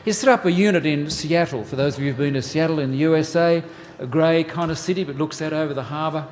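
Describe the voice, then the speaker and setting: A man in his sixties with an Australian accent, from New South Wales. He gives a church workshop talk in a relaxed, joking way, with many "uh" fillers. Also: fairly high pitch, fast, long reverb tail, slight background noise. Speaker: family ministries pastor. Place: church hall.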